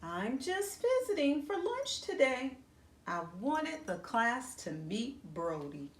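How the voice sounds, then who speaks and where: Storybook lady teacher voiced by a woman narrator, kind and reassuring, animated, slightly high, echoey room. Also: slow pace, heavily acted. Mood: gentle greeting. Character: kind, encouraging woman teacher comforting a young pupil. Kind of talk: children's storybook read-aloud. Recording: roomy echo, faint background noise.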